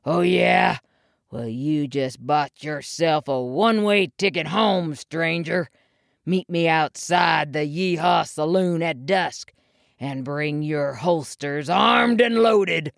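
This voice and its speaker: Lady doing a grumpy male bear bully, blustery cowboy talk, low, full of himself. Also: takes it slowly, gravelly, dry recording, studio quality, exaggerated voice. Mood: menacing challenge. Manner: blustering, folksy western talk.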